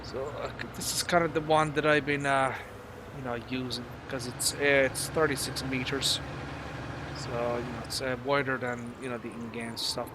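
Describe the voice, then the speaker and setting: A man with a mild Irish accent giving casual live gaming commentary in a halting, rambling, casually sweary way. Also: medium pitch, level tone, noisy background. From Ireland. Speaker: game streamer.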